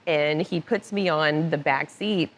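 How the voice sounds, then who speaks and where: Lower-voiced woman telling lively anecdotes. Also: unhurried, poor audio. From born in Italy, raised moving around. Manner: laughs often, animated anecdotes. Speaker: podcast guest, motorcycle finance director. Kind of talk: casual storytelling interview. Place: cigar club lounge.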